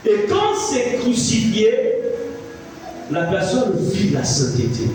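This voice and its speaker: A man's voice: high voice, wildly swooping pitch, takes it slowly, darkish tone, a little hiss or hum. A pastor in his fifties preaching a sermon, emphatic, repeating phrases and putting questions to the congregation. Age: 50s. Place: church. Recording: echoey church sound from a distant mic with music.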